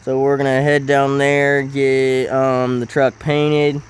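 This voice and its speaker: A man giving casual role-play gameplay commentary in a halting way, thinking aloud as he hosts. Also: medium pitch, completely flat delivery, clear, resonant tone. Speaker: gaming video host.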